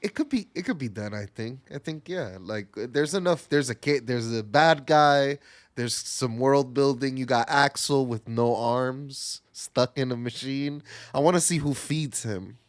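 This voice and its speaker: A man's voice: medium pitch, measured pace, no echo, clean studio sound. A man co-hosting a podcast, talking casually with the others. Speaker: podcast co-host. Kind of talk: casual chat.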